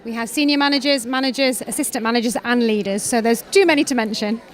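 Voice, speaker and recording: A woman with a Welsh accent, a creative director, answering interview questions backstage at a fashion show in a brisk, confident and articulate way. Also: on the high side. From Wales.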